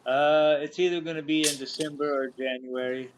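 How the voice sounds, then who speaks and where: A man's voice: fairly high pitch, steady tone, moderate pace, a little hiss or hum, poor audio. A man in his thirties, a guest fighter in a casual interview, earnest and full of "you know" and "honestly". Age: 30s.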